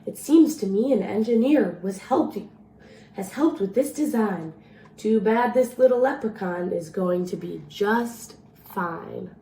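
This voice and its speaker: A woman's voice: medium pitch, lively intonation, a little slow, warm tone. A woman voicing a boastful, mischievous old male leprechaun in a rhyming picture-book read-aloud, teasing and playful, in a roomy space. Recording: roomy echo, faint background noise.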